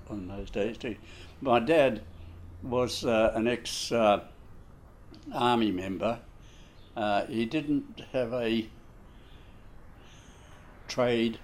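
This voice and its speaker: Slow, deep-voiced old New Zealand man. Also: a little hiss or hum. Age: 90s. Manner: slow, halting, many fillers and restarts. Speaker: interviewee, war veteran. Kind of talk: casual reminiscing interview.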